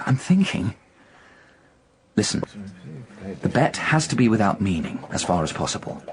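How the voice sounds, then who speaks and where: Reckless young gambler, wildly swooping voice. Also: male voice, on the low side, sing-song, normal speed, noisy background. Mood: feverish, insistent. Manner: agitated, erratic, pressing, repeats himself. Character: reckless indebted young gambler from a wealthy family, insistent. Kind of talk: radio drama acting.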